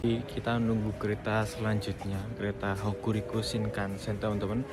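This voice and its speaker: A man's voice: fairly low pitch, flat delivery, moderate pace, a loud crowd. A man chatting casually to his viewers as a travel vlogger, talking from train stations and platforms. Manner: chatty, addresses viewers as friends. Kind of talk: casual travel vlog narration.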